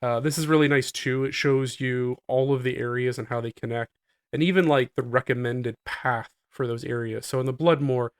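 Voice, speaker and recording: A man with a nasal voice, getting over a cold, giving a relaxed, explanatory walkthrough of his game setup. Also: mid-range voice, normal speed, smooth, dry recording, clean studio sound. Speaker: gaming channel host. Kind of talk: casual gameplay guide commentary.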